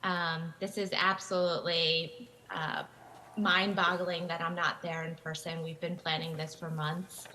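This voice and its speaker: A woman's voice: lowish voice, flat delivery, a little slow, bright, forceful tone, a little hiss or hum, low-quality recording. A woman in her forties, from Taiwan, gives a conversational lecture over a video call from home, with frequent ums and restarts. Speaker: guest lecturer. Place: home room with bookshelves. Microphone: video call webcam.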